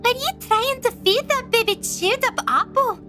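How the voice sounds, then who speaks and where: A woman playing a bewildered, excitable young woman in an audio drama, with halting, exclamatory delivery. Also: very high voice, soft background music. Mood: startled disbelief.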